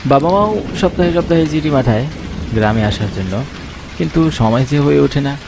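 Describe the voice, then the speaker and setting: A man's voice: a little high, expressive, moderate pace, creaky. A man playing a sceptical young city worker back in his village, earnest and questioning, in a horror cartoon. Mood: casual. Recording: rain and thunder noise behind.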